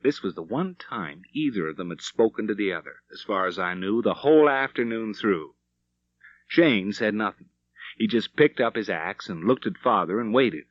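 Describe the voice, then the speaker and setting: A male audiobook narrator reads a Western tale with vivid drama. His pitch moves in lively swings through the sentences. The recording is dry and a little dull. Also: normal speed, no room sound. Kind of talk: expressive storytelling narration of a Western novel.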